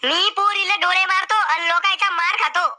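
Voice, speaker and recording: A woman doing a squeaky cheeky cartoon grandson. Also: fairly even intonation, normal speed, bright, forceful tone, full character voice. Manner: cheeky rustic banter. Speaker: game show contestant. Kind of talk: cartoon comedy acting.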